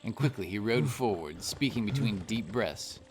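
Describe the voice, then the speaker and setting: A man's voice: fairly low pitch, animated, normal speed. A man reading scripted fantasy story narration as the narrator of an audio drama. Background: music.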